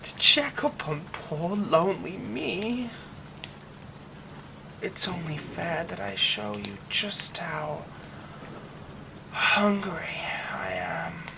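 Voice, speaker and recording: A man voicing a menacing yandere werewolf who is an old childhood friend, in an ASMR roleplay, talking in slow, soft, threatening whispers. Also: high-pitched, big pitch swings, creaky, noisy background, voice pushed up, full character voice.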